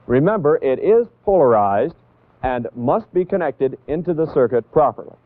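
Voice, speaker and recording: An American man narrating a 1960s instructional training film as an instructor, in a deliberate, didactic manner that stresses key points. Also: a little high, expressive, no room sound. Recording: tinny, band-limited 1960s training film audio.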